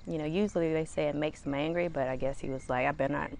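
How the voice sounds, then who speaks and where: A woman hosting her own podcast, talking casually outdoors with a small, slightly raspy voice and a relaxed, rambling way of speaking. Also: low voice, fast. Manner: relaxed, rambling, chatty with fillers. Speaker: podcast host. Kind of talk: casual solo podcast talk. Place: outdoors in the sun.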